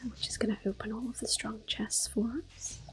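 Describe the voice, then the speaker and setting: A woman hosting a relaxing game playthrough, talking mostly in a gentle, unhurried whisper with small exclamations here and there. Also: on the high side, flat delivery. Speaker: gaming ASMR host. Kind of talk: soft whispered game commentary.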